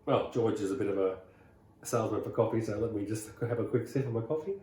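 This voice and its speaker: A man's voice: fairly low pitch, quick pace, a little hiss or hum. Roomy-sounding Australian man telling stories. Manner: rambling, relaxed, many "uh"s and restarts. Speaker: rockabilly singer, guest. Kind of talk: casual interview storytelling.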